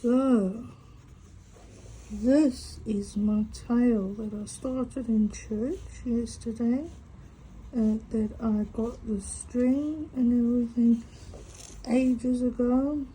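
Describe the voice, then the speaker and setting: A woman's voice: on the high side, fairly even intonation, takes it slowly, warm tone, a little hiss or hum, rough recording. A woman with a stutter, chatting casually to camera while she draws and recorded on a phone. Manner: reflective, talks to herself while drawing. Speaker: tangle art vlogger.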